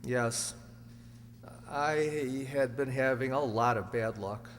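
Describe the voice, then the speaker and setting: A man's voice: medium pitch, takes it slowly, slight background noise, lightly acted. A man playing an anxious young murder suspect, earnest and faltering, in an old radio drama with a reverberant, hummy sound. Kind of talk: 1940s radio drama acting.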